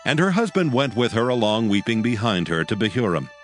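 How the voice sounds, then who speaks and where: A man narrating dramatized scripture from a script in a measured, formal manner. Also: lowish voice, very animated, faint music behind.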